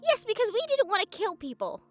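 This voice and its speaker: An actress playing a sardonic, defiant young fledgling vampire in an audio roleplay drama, with a wry, sarcastic delivery. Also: female voice, extremely high pitch, very animated, a little fast, faint music behind. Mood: indignant.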